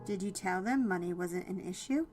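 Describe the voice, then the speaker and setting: A woman's voice: mid-range voice, normal speed, a little mellow, faint music behind, lightly acted. A woman voicing a guarded, exasperated young female executive with a curt, skeptical manner in a dramatized audiobook. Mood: exasperated, demanding. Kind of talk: dramatized audiobook character dialogue.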